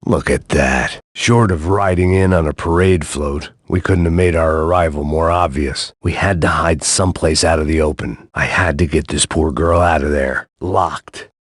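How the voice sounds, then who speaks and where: A burnt-out ex-cop in a game, super deep voice, dry sound, deadpan sarcastic noir monologue. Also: male voice, normal speed, hardly any pauses, husky, dry recording. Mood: weary, sardonic. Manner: sardonic, deadpan noir narration. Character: world-weary middle-aged male ex-cop, bitter, self-loathing. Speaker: game protagonist. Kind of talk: hardboiled video game inner monologue.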